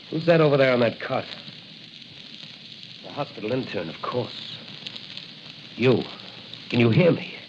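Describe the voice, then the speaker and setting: Noisy vintage radio, masked crime-fighter, mid pitch, confident, resolute, declamatory, 1940s adventure serial. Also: male voice. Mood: reassuring. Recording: noisy, muffled 1940 radio recording.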